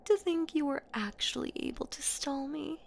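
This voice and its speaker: A woman's voice: sits high, darkish tone, a little hiss or hum. A woman voicing an ancient female boogeywoman in a horror roleplay audio drama, predatory and possessive, with teasing, menacing delivery. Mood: gloating, excited. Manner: slow, teasing, menacing, breathy whispers and drawn-out words.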